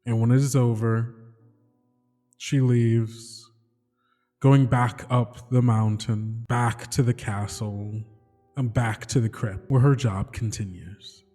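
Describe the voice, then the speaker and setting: A man narrating his character's story solemnly and tenderly, in short measured phrases with pauses. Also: medium pitch, fairly even intonation, warm tone. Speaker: player in a tabletop game podcast. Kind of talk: slow solemn third-person story narration. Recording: long reverb under music.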